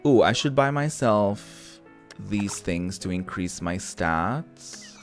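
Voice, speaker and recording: Low-voiced man doing playful game commentary. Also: lively intonation, slow pace, soft background music. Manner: playful, campy, chatty asides. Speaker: video game streamer. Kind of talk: casual let's-play commentary, reading dialogue aloud.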